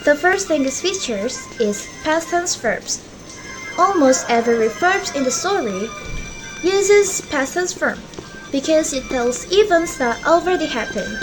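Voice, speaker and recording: A woman's voice: high voice, poor audio. A young woman reading scripted material as a student storyteller and presenter. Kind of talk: scripted fairy-tale narration and school presentation.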